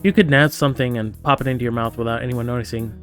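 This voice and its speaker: A man's voice: medium pitch, fairly even intonation, fast, faint music behind. A man streaming a visual novel, reading the game's text aloud with casual asides and playfully acting out the characters' lines.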